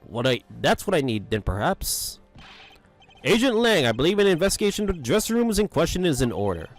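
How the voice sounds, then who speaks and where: A performer voicing a stern, formal male prosecutor in a fan dub of a game, measured and dry. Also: medium pitch, very animated, soft background music, lightly acted.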